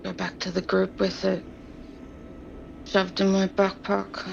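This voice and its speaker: A woman's voice: medium pitch, completely flat delivery, slow pace, vocal fry, dull audio, a little hiss or hum. A woman playing a tabletop game, improvising her character's actions in casual chat.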